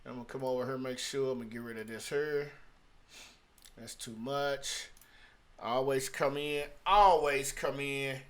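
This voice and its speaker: A man's voice: mid-range voice, fairly even intonation, measured pace, a bit bright. A man giving a casual tutorial as a music producer, thinking aloud in a rambling way and often repeating his phrases. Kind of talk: casual screen-recorded tutorial talk. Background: hip-hop beat loops playing.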